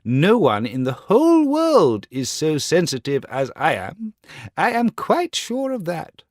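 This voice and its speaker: A man's voice: fairly high pitch, huge pitch swings, unhurried, a little mellow, dry recording, studio quality. A man voicing a vain, pompous male firework rocket in a storybook reading. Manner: pompous, self-important, indignant.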